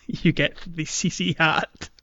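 A man's voice: a little high, little pitch movement, slow, bright, forceful tone, muffled recording. A man hosting a casual gaming podcast, explaining things in a hesitant, trailing way. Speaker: podcast host.

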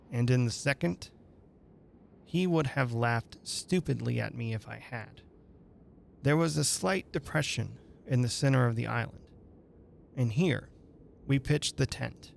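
A man narrating a literary horror story in a measured, formal, dramatic reading manner. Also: mid-range voice, vocal fry.

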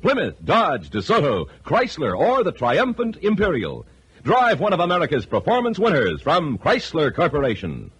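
A man's voice: fairly high pitch, huge pitch swings, normal speed, fairly bright tone, a little hiss or hum. A man announcing and reading an advertisement in the style of 1950s radio. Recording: vintage radio recording.

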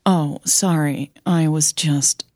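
A woman's voice: deep voice, fairly even intonation, slow pace, a little mellow, dry recording, studio quality. A woman playing a guarded, easily wounded woman in love, speaking tensely in an audio drama. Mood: evasive.

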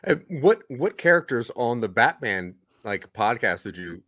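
A man's voice: mid-range voice, sing-song, measured pace, fairly bright tone, no room sound, clean studio sound. A man hosting an interview, asking long, rambling questions with frequent restarts. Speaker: interviewer host. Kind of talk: casual interview chat.

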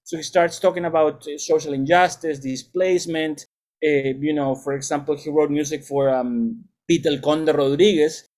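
Middle-aged male music teacher, slightly high voice, measured and explanatory, restarts often. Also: fairly even intonation, darkish tone. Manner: thoughtful, lecturing, with frequent restarts. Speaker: music educator and salsa band leader. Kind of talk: explanatory interview answers.

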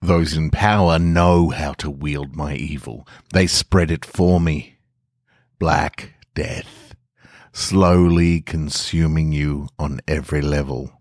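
A smug spirit of evil, read by a man, gloating with slow, quiet patience. The voice sits extremely low and takes its time over every word. The sound is close and dry, with no room around it. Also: steady tone, no echo, full character voice. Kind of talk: scripted first-person story reading.

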